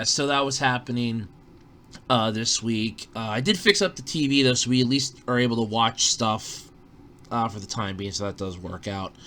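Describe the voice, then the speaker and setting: Bright-voiced man with a scratchy throat. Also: mid-range voice. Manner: rambling, frequent fillers and restarts. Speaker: podcast host. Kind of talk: casual solo podcast monologue.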